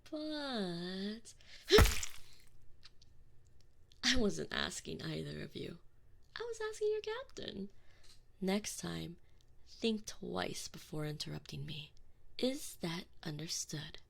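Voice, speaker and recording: Woman playing a creaky, sadistic alien enforcer woman. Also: fairly low pitch, big pitch swings, takes it slowly, long pauses, fairly bright tone, heavily acted. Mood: menacing, mocking. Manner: taunting, sweetly menacing, theatrical, breaking into giggles. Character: sadistic, gleeful, possessive female alien enforcer. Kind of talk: ASMR roleplay acting. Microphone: close binaural microphone.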